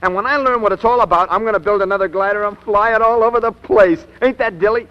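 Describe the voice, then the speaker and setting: A man's voice: sits high, fast, close to an everyday voice. A young man playing an earnest, slangy, plain-spoken teenage boy in a 1940s radio drama. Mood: eager, baffled. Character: teenage boy Chuck, earnest, boyish, slangy glider enthusiast. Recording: muffled old radio broadcast recording.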